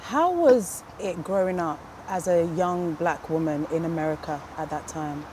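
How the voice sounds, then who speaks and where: A woman's voice: on the low side, moderate pace, a little mellow, a little hiss or hum, rough recording. A woman hosting an interview, asking questions and cheering her guest on with affirming remarks. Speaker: interviewer, show host. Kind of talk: conversational interview.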